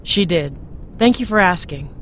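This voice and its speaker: First officer, female actor: composed, loyal, caring; lowish voice with ship rumble behind. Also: expressive, normal speed, natural voice. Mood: friendly. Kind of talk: science fiction audio drama acting.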